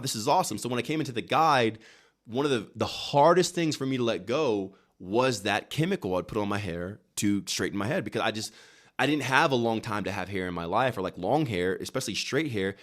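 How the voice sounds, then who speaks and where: A man's voice: on the low side, expressive, a little fast. Emphatic man in motivational conversation. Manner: emphatic, repetitive, rhetorical questions and self-quotes. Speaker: interview guest, wellness guide creator. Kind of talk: animated motivational talk in conversation.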